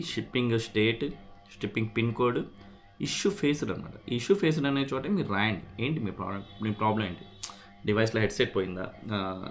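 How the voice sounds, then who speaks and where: A man talking casually as a vlogger, explaining things and mixing in English words. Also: lowish voice. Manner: mixes English words into his speech. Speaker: vlogger sharing a product repair experience. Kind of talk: casual explanatory vlog with unboxing.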